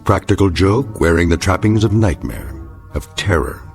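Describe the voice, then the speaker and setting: An actor as a grave, wry narrator of a radio drama, speaking in a measured, solemn way. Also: male voice, sits low, darkish tone, faint music behind. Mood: grave, ominous.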